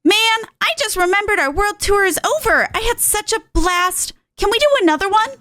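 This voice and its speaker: A woman voicing a brash, peppy young pop idol drone in a video game, slangy and excitable. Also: very high-pitched, normal speed, voice pushed up. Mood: excited.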